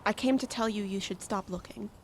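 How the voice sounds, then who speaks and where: Woman acting a glum resigned daughter, lots of vocal fry, faint roadside noise behind. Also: medium pitch, brisk, close to an everyday voice. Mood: resigned, sullen. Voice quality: creaky. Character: sullen, defeated youngest daughter of troubled family.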